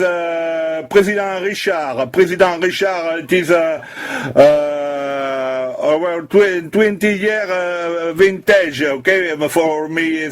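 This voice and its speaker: A man from France with a French accent, speaking halting, simple English as a CB radio operator making contact. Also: high-pitched.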